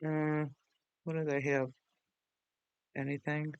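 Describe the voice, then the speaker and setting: A man with a fairly high, clear voice walks through software as a tutorial host. He talks casually and rambles, often poking fun at himself. The sound is dry, with no room on it. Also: little pitch movement, takes it slowly, no room sound, muffled recording. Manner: rambling, chatty, self-deprecating.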